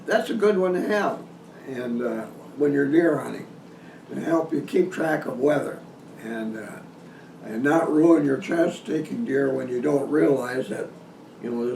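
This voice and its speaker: A man giving an informal instructional hunting talk in a halting, folksy way, repeating and restarting his phrases. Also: mid-range voice, creaky, a little mellow. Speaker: deer hunting instructor hosting a video seminar. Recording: roomy echo, distant mic, some noise.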